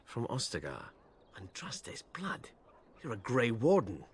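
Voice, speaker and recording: Male knight for a game: formal, stern, husky, dramatically varied pitch. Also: wildly swooping pitch, unhurried, heavily acted. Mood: indignant, stern. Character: stern, honour-bound knight, accusing and formal. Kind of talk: video game dialogue.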